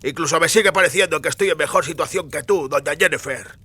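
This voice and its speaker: A man's voice: a little high, fast, sharp tone, husky, no room sound, pitched above the performer's own voice. A man voicing an insolent, coarsely mocking fellow with a head cold in an audiobook, congested and defiant. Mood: sneering defiance. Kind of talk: audiobook character acting.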